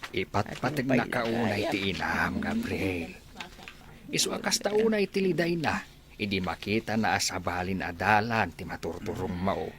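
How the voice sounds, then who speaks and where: A man's voice: on the low side, animated, normal speed, noisy background. A man with an Ilocano accent acting a proud, advising uncle in a radio drama. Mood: proud, impressed. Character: proud, advising uncle, animated older relative.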